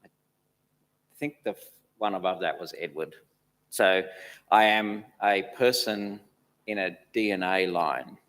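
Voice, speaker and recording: A man in his fifties, mostly Australian in accent with traces of other places, answers questions in a church. His voice sits a little low, and he rambles good-naturedly with dry jokes. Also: unhurried, pauses often, fairly bright tone. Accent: mixed, Australian with traces of places lived. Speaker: church council chair. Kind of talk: interview answers.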